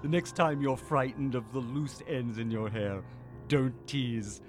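Guy doing a slow ominous horror trailer voice, hammy and menacing, music under it. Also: mid-range voice, natural voice. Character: sinister, hammy male in horror trailer spoof.

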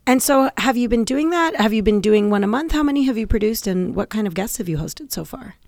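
Young female guest host, a touch of vocal fry, relaxed and joking. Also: a little high, a little fast, no room sound, studio quality. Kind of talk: casual podcast interview. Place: recording studio. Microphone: studio mic.

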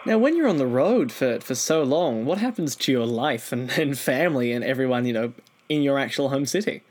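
A young adult man with a mid-pitched voice. He speaks as a podcast host, conversational but presenting to listeners. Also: lively intonation, a little fast, a little mellow.

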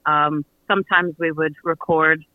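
A man with a fairly high voice talks as a guest on a casual radio interview. He feels his way through sentences in halting pieces. The sound is close and dry. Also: fairly even intonation, no echo, phone-quality sound. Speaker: audio theater producer and guest.

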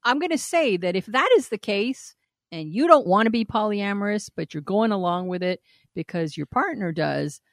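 A woman's voice: mid-range voice, animated, normal speed, no echo, clean studio sound. A woman co-hosting a podcast, reading a listener's email aloud and giving blunt, frank advice with frequent laughter and swearing. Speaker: podcast co-host.

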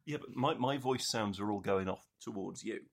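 A man's voice: lowish voice, normal speed, creaky. A man co-hosting a casual podcast at home, playful and rambling, sitting back from a shared mic in a small room with a hard bare wall. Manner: playful, rambling, self-mocking. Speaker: podcast host. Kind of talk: casual banter. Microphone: shared tabletop microphone, sitting a bit far from it.

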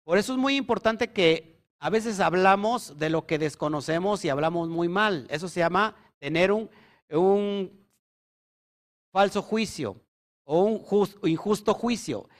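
Preacher, male, high-pitched, emphatic teaching style, keeps checking in with his audience. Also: measured pace. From Mexico. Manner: emphatic, asks the audience questions. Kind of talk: sermon teaching.

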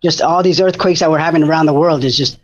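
An enthusiastic man talks in a rambling way, full of fillers and restarts. His voice sits a little high, and the recording sounds dull and muffled. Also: quick pace. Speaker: food bank disaster preparedness coordinator. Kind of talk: casual radio interview.

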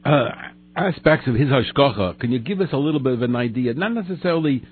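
A man hosting a radio interview, asking quick, prodding questions and cutting in. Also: medium pitch, animated, very noisy. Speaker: radio host. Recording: tinny phone-like radio line.

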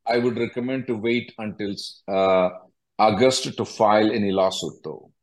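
A man's voice: lowish voice, fairly even intonation, moderate pace. Blunt man giving call-in advice. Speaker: immigration attorney hosting a call-in. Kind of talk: question-and-answer advice call.

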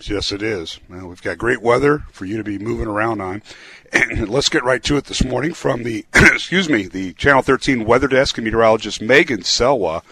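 A man with a gravelly, hoarse voice from a cold, chatting casually on the radio and reading the weather. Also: mid-range voice, brisk, sharp tone, no room sound. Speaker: news and weather reader.

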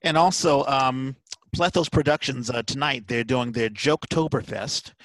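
A man hosting a casual podcast chat, announcing events haltingly and repeating words for emphasis. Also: medium pitch, a bit bright, poor audio. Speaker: podcast host.

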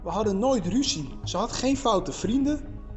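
Worried middle aged dad interviewed on news, dull lo-fi sound, music behind, higher voice. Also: male voice, lively intonation, measured pace, darkish tone. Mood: worried, bewildered. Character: worried, bewildered father of a missing daughter. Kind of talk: news interview in an audio drama. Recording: dull, band-limited sound.

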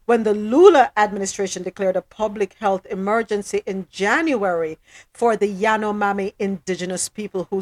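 A woman hosting a current affairs talk show, speaking in a measured, deliberate way as she comments and reads reports. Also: mid-range voice. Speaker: host. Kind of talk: news discussion, commentary and reading reports.

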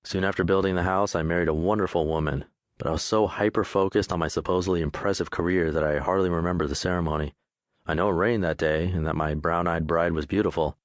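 Male audiobook narrator, very low voice, easygoing straightforward memoir read, dry booth sound. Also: dry recording. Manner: laid-back, straightforward.

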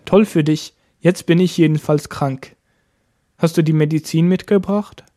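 A man teaching a language lesson, explaining each point slowly step by step. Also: on the high side, fairly even intonation, dark tone. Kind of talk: lesson narration.

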